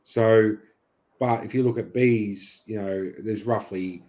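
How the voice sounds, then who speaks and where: Aussie guy on a video call, low voice, keen and rambling, lots of restarts. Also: fairly even intonation, slow pace, mellow. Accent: Australian. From Australia. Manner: enthusiastic, chatty, many restarts. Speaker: guest beekeeper. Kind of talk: casual video-call interview. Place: unheated home studio.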